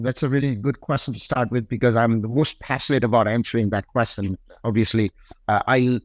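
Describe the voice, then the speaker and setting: A man with a Nepali accent talks as a podcast guest in a conversational style. His pitch is average, and his phrasing is halting, padded with plenty of fillers. The sound is dry, without room echo. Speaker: podcast guest, tech company executive. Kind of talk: conversational interview answers.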